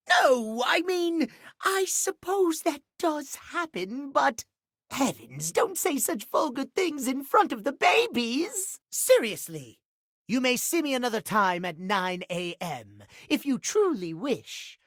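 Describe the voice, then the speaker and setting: A prim and genteel game character, a fussy clock gentleman with a high, expressive voice. His diction is formal and precise, unhurried and full of swooping emphasis. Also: dry recording, clean studio sound, heavily acted. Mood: offended, prim. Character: fussy, genteel, punctual clock gentleman doting on pocket watches. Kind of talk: video game character acting.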